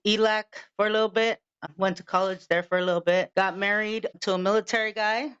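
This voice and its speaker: A woman's voice: mid-range voice, fairly even intonation, fairly quick, a bit bright, smooth. A woman in her fifties from Los Angeles, co-hosting a podcast in a laid-back, chatty way and swearing casually. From Los Angeles, California. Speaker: podcast co-host. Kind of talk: casual chat. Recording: dull lo-fi sound.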